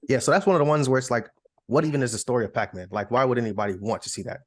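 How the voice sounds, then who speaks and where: A man's voice: medium pitch, animated, racing, no room sound, clean studio sound. A man co-hosting a podcast, explaining his views informally with hypothetical asides. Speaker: podcast co-host. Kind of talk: casual explanatory chat.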